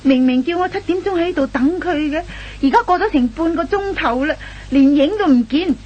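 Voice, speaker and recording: A woman's voice: extremely high pitch, brisk, darkish tone, dull audio. A woman acting an angry, impatient young girlfriend in a comic Hong Kong Cantonese radio play, scolding indignantly and emphatically. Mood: exasperated. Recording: old radio recording with faint noise and hum.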